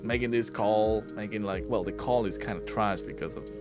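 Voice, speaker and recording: A man's voice: on the low side, normal speed. A man hosting a casual chat over a voice call, swearing freely and acting out voices. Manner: swears a lot, acts out voices, lively. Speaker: podcast host and streamer. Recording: voice call with lofi music behind. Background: lofi music.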